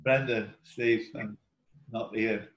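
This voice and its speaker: A man hosting a quiz on a group video call, reading questions in a deliberate way with informal banter. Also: fairly low pitch, steady tone, lo-fi sound. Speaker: quiz host and question setter. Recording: echoey room over video call.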